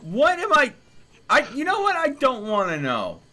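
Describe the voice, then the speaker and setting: Animated, bright-voiced man swearing freely. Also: very high-pitched, moderate pace. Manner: profane, exclamatory. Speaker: game streamer. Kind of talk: casual gaming chat with friends. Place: indoor room, streaming setup.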